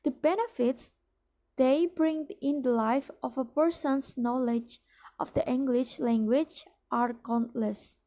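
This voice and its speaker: A woman's voice: a little high, mellow. A young Indonesian woman with an Indonesian accent, whose first language is Indonesian, reading a promotional speech for a mock business ad with careful delivery and frequent restarts. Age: young adult. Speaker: student presenting a mock business ad. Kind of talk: read-aloud promotional speech.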